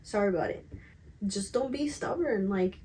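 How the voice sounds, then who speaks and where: Candid young woman vlogger, slightly raspy. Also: medium pitch, animated, moderate pace, dark tone. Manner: candid, emphatic, slangy. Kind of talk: casual confessional chat to camera.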